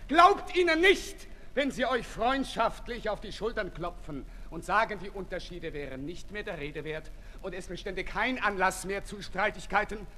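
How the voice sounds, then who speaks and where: Fiery revolutionary orator in a hall. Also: male voice, sits high, bright, forceful tone. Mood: mounting outrage. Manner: declamatory, urgent, exhorting the people. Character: fiery ailing revolutionary orator, fervent and embittered. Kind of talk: 1960s German radio play acting. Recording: 1960s radio recording, reverberant hall, hissy.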